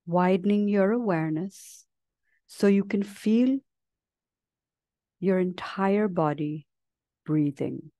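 A woman leading a guided meditation as a teacher, speaking gently and leaving long, unhurried pauses between phrases. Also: mid-range voice, no echo. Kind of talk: guided meditation, slow soothing instruction.

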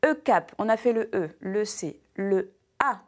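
A woman in her thirties, a health and wellness columnist on a morning TV show, explaining and demonstrating simple exercises in a clear, upbeat way, wearing a lapel mic in a bright studio. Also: medium pitch, unhurried. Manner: clear, upbeat explainer, lists items with steady rhythm.

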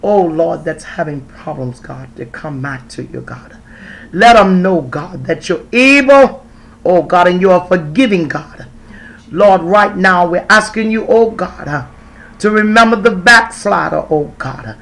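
A woman leading a fervent intercessory prayer in a chanting cadence, breaking every phrase with "uh" and "oh God" and at times rising to cries and shouts. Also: on the low side, animated, a little slow, creaky. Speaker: prayer leader.

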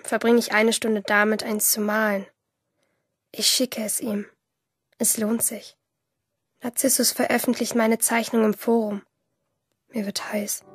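A woman's voice: a little high, husky, dry recording. An actress playing a shy, lovestruck teenage schoolgirl, narrating confidingly in a radio play. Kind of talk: first-person radio drama narration.

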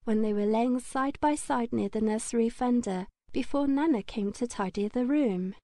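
A woman's voice: on the high side, normal speed, dark tone, dry recording, studio quality. A woman reading a children's story as an audiobook narrator, in a scripted storytelling style.

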